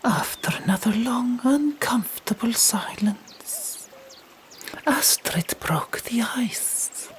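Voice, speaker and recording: Lady whispering an audiobook asmr style, super slow, hushed n deliberate storytelling. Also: a little high, animated. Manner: deliberate, hushed, dramatic phrasing. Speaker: audiobook narrator.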